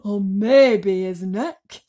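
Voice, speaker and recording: A woman's voice: mid-range voice, very slow, warm tone. A woman voicing a cruel, greedy aunt in a storybook, drawing out her nasty words with emphasis. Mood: gloating, spiteful. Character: cruel, greedy, spiteful middle-aged aunt, storybook villain.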